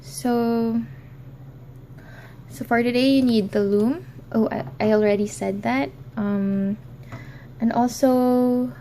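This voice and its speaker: A woman's voice: a little high, steady tone, slow pace, pauses often, warm tone, slight background noise. A young woman hosting a crafting tutorial, talking hesitantly with many ums and apologies, recorded in an office. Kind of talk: casual how-to tutorial.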